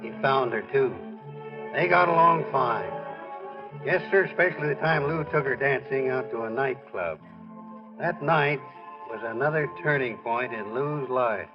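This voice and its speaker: An actor playing a folksy friend who narrates a ballplayer's life in colloquial 1940s radio style. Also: male voice, mid-range voice, unhurried, music in the background, lightly acted. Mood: chummy. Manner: colloquial, storytelling. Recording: muffled old radio recording.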